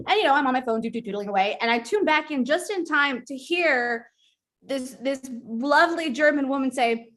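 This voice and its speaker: A woman's voice: high-pitched, expressive, moderate pace, a bit bright. A woman guest-hosting a trivia game show over a video call, chatty, giggly and self-deprecating.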